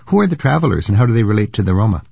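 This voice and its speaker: A man's voice: fairly low pitch, very animated, racing, creaky, warm tone, breathy edge, dry recording, highs cut off. An older man hosting a travel radio show, chatty and curious, thinking aloud with fillers as he asks questions. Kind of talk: radio interview.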